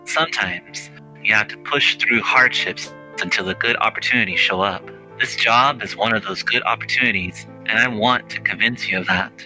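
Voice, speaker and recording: An older male mentor played by a man reading a visual novel speaks with a very bright, forceful edge on a lowish pitch. He is patient, formal and reassuring, with faint music underneath. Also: moderate pace, low-quality recording. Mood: earnest, reassuring. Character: older male scholarly mentor, patient and earnest shop master.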